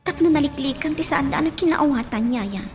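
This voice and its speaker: Woman playing a high-voiced troubled young daughter. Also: a little fast, over music, lightly acted. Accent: Ilocano. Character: troubled, dutiful young daughter confiding in her nanny. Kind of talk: Ilocano radio drama acting.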